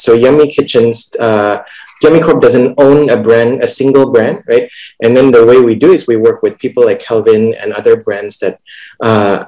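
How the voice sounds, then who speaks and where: A man in his thirties explaining his business as a panelist on a video call, halting and repeating words, often ending points with a checking tag. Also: medium pitch, darkish tone, rough recording. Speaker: startup executive, panelist.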